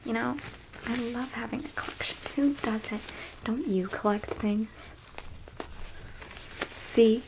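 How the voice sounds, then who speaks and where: A woman playing a coolly clinical, smug and curious alien abductor in an ASMR roleplay, in a soft breathy whisper with a coyly condescending manner. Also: on the high side, takes it slowly, long pauses, background noise. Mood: smug, defensive.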